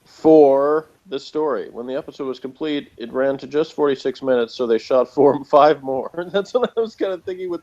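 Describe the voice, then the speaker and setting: A man's voice: medium pitch, normal speed, few pauses, a little mellow. A man hosting a casual review chat, reading out notes and commenting on them with chuckles. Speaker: review show host. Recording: slightly dull, lo-fi sound.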